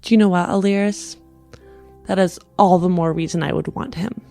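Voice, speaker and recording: Creaky-voiced woman playing a tabletop game. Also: on the low side, fairly even intonation, normal speed, mellow, soft background music. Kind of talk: improvised in-character game dialogue.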